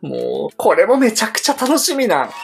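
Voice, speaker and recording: A woman's voice: mid-range voice, sing-song, moderate pace, vocal fry, breathy edge. A woman co-hosting a casual fan chat, gushing and agreeing enthusiastically. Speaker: co-host of an idol fan channel.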